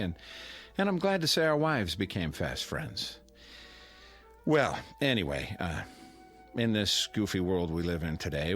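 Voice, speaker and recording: A middle-aged American man played by an actor makes a toast in a radio drama. His voice sits a little low, with faint music around it. He is folksy and sentimental, teasing as he halts through his speech. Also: a bit bright, lightly acted. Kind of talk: radio drama acting, after-dinner speech.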